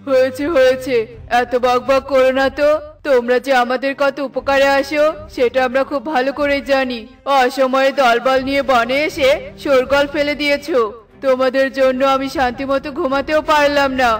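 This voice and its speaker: A woman's voice: high voice, level tone, normal speed, lightly acted. A woman voicing a talkative, blunt forest bird in a children's cartoon, with an emphatic delivery. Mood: grumpy.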